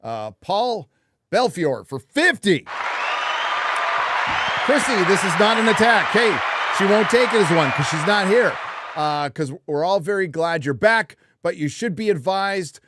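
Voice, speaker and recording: A man's voice: high voice, animated, unhurried, crowd noise, background noise. A middle-aged man hosting a live stream, chatting casually and reading out viewer donations. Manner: laid-back, reads out donations. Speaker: podcast host.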